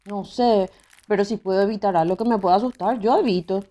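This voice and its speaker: A woman playing a nervous, superstitious young university student in an audio drama, with a Paraguayan accent and a fretful, expressive delivery. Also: mid-range voice, brisk, lo-fi sound, natural voice. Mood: uneasy.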